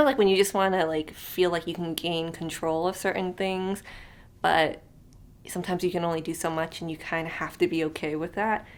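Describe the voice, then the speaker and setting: A woman in her thirties talking casually to her camera, her voice sitting a little low. She rambles and thinks out loud, leaning on fillers, and now and then drops into a soft near whisper. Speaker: vlogger. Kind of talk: unscripted casual chat to camera.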